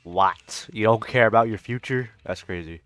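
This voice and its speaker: A man's voice: on the low side, moderate pace, a bit bright, faint music behind. A young adult man giving casual, slangy reaction commentary on a game, with frequent swearing. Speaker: streamer reacting to a game story.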